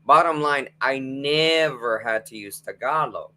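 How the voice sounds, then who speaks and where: A man's voice: medium pitch, unhurried, sharp tone. A man with a Filipino accent whose first language is Kapampangan, talking casually as an interview guest on a video call. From Philippines.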